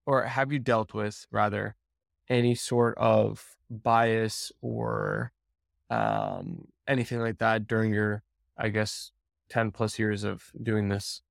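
A man's voice: on the low side, slow pace, creaky, dry recording, studio quality. A man hosting a podcast interview, asking long, hesitant questions with many restarts. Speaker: podcast host. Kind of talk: casual interview talk.

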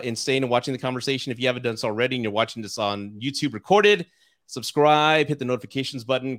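A man's voice: mid-range voice, fairly bright tone. A man in his forties hosting a live podcast interview, chatty and easygoing, laughing often and repeating himself as he talks. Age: 40s. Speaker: podcast host. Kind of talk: casual live-stream interview.

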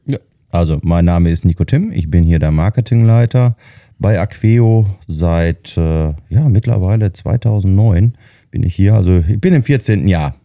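A man in his fifties explains his views at a thoughtful, halting pace, pausing on fillers. His voice sits very low and sounds extremely dark and mellow, recorded dry and close. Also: lively intonation, no room sound. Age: 50s. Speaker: marketing head, podcast guest. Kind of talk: casual podcast talk.

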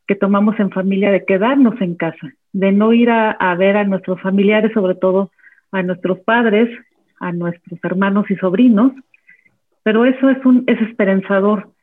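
Earnest woman over a tinny phone line. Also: medium pitch. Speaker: systemic therapy guest. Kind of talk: reflective talk.

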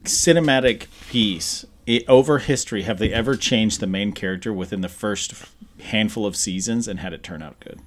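Low-voiced guy around thirty, chatting. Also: normal speed, slight background noise. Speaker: podcast co-host. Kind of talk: casual chat between friends.

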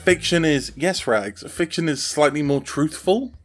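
A man's voice: fairly high pitch, animated, a little slow. Man thinking aloud in casual interview. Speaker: interview guest, audio drama creator.

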